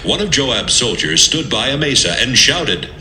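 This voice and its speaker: A man narrating scripture from a script in a measured, dramatic storytelling manner. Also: mid-range voice, very animated, bright, forceful tone, a little hiss or hum. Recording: echoey, music bed behind.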